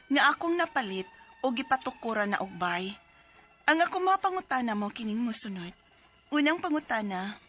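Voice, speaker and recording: A woman's voice: high-pitched, a bit bright. A woman with a Cebuano accent playing a distressed woman in her thirties, pleading for legal advice in a dramatized letter. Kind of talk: dramatized reading of a listener's letter.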